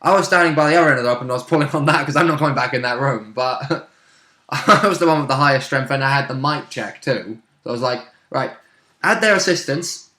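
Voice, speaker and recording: A man's voice: medium pitch, quick pace, sharp tone. A man chatting casually as a tabletop player, in a jokey, sweary way. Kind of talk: casual chat. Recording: echoey untreated room.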